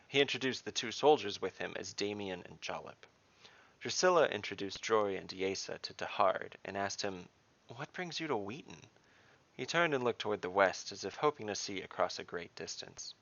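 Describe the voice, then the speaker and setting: A man's voice: on the low side, expressive, brisk, vocal fry, a bit bright. A man narrating a scripted fantasy story, recorded up close with a slightly dull sound.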